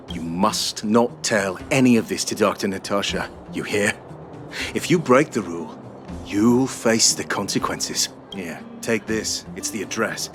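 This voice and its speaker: A man playing a cynical, lively-pitched miner. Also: lightly acted. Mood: secretive, stern. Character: cynical, world-worn male underworld miner, secretive and warning. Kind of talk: video game voice acting. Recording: music under the voice.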